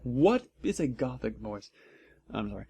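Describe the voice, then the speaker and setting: A man's voice: mid-range voice, sing-song, mellow, slightly rough, highs cut off. A man narrating a story aloud as a theatrical comedic reading, with mocking asides. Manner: theatrical, deliberately reproducing misspellings. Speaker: narrator and host reading fan fiction aloud.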